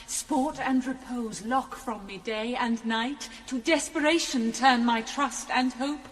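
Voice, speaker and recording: A woman's voice: a little high, takes it slowly. An actress playing a devoted, solemn queen, speaking formal, declamatory verse in a classical audio drama. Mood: fervent, solemn. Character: devoted, solemn stage queen swearing fidelity. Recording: long hall reverb.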